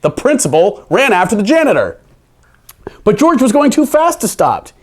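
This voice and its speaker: A man reading a children's picture book aloud, playful and expressive, dropping into dry, deadpan asides. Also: high-pitched, normal speed. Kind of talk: animated storybook reading with wry asides.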